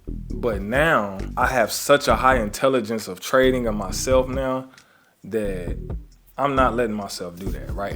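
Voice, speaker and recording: A man of middle pitch gives an earnest, preachy motivational talk to fellow traders. He speaks conversationally and keeps checking in with the listener. Quiet music plays faintly behind him. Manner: conversational, often adds "right?" and "you know what I'm saying?"; earnest, preaching gratitude with faith references. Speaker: trading coach.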